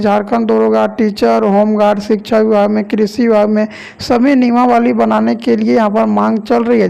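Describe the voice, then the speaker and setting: A man's voice: sits high, gravelly, warm tone. A man with a Jharkhand Hindi accent presenting an online news voice-over in a repetitive, formulaic way. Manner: repetitive, formulaic delivery with stock phrases.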